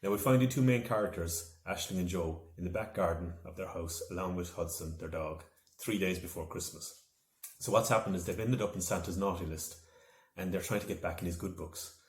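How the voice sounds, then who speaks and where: A man with a lowish voice narrates a children's storybook. His delivery is expressive and dramatic. The room around him rings with noticeable echo. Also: fairly quick. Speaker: author reading from own novel. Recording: echoey room.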